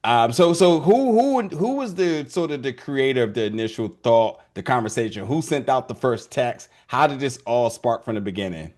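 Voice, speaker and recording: A man's voice: medium pitch, expressive, normal speed, few pauses, darkish tone. A young man hosting a casual video interview, talking loosely with many restarts and fillers.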